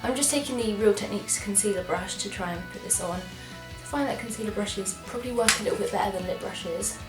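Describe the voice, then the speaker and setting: A woman's voice: medium pitch, brisk, echoey room, music in the background. A young English woman with a stuffy, congested voice from a cold, chatting enthusiastically as a beauty vlogger trying on products. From England. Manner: chatty, enthusiastic, apologetic asides. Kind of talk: casual product try-on chat.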